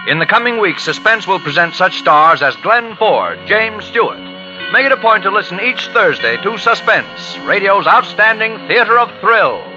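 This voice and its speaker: A man's voice: high voice, big pitch swings, moderate pace. An American man reading scripted announcements in a 1940s radio style. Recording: muffled lo-fi vintage radio broadcast. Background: music.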